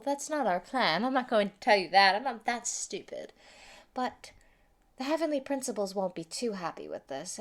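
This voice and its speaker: A woman voicing a sadistic, gleeful female mad scientist who taunts and gloats over a captive in a theatrical roleplay monologue. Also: on the high side. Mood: scheming, commanding. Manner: taunting, gloating, giggly, swinging into whispers. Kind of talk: theatrical villain monologue, fan roleplay audio.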